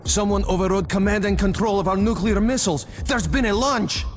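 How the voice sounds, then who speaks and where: An actor playing an earnest, capable superhero tech expert in a video game, briefing in a matter-of-fact way. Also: male voice, high-pitched, brisk, soft background music, natural voice. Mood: serious, focused. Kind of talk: video game drama acting.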